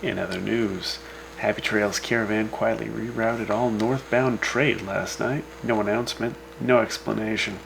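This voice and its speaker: A man hosting a scripted frontier radio news broadcast, reading the items in a dry, deadpan way with wry asides. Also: lowish voice, normal speed, vocal fry, a little hiss or hum, poor audio. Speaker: radio host.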